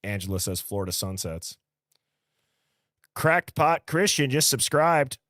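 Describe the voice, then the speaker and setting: A man's voice: medium pitch, big pitch swings, unhurried, fairly bright tone, dry recording, clean studio sound. An American man in his early thirties hosting a livestream, chatting casually, reading out viewer comments and drifting into conversational asides. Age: early 30s. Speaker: livestream host.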